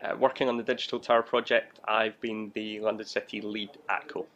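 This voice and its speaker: A man's voice: on the low side, flat delivery, fairly quick, fairly bright tone, dull audio. A man in his thirties, an air traffic control manager, explaining his work in an interview.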